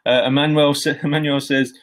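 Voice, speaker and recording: A man in his thirties hosting an online event over a video call from a bright room at home, friendly and relaxed, with plenty of ums. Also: a little high, fairly quick. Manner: friendly, relaxed, filler-heavy. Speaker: event host. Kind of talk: moderating a video call session.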